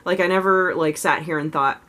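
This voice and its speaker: A woman vlogging casually and frankly about herself, rambling with lots of "like" and "you know", her voice low and lifted a little above its natural register. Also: fairly even intonation, brisk, a bit bright. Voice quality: low voice she raises slightly higher than natural. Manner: frank, self-reflective. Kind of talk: casual confessional vlog.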